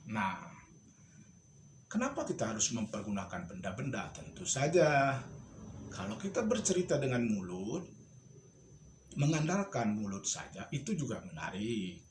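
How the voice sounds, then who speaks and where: A man's voice: fairly low pitch, big pitch swings, unhurried, pauses often. A man giving an informal storytelling tutorial, instructive and thinking aloud as he shows objects. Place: indoor home studio. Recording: echoey room with clear background noise.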